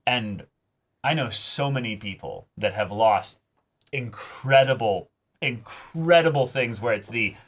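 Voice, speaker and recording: A man hosting an anime reaction show, talking casually and reflectively, with halting phrasing and the odd swear word. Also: mid-range voice, animated, fairly bright tone.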